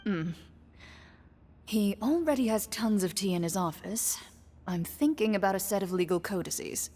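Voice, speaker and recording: A woman's voice: mid-range voice, normal speed, a little mellow, lightly acted. Woman as a wry official in a reverberant hall. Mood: wry, polite. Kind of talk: game voice acting. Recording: long reverb, faint background noise.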